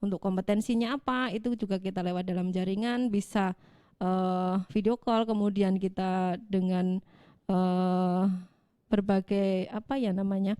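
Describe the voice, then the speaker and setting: A woman, a midwifery lecturer calmly explaining to the host with light jokes. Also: medium pitch, unhurried, clean tone, dry recording, clean studio sound. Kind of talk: explaining on a talk show.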